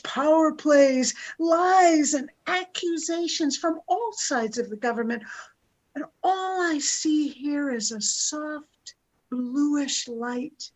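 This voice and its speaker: A worried middle-aged mother, voiced by a woman, speaks in a homemade radio play. Her voice is quite high and her delivery slow and musing. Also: rough recording. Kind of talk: amateur radio play acting.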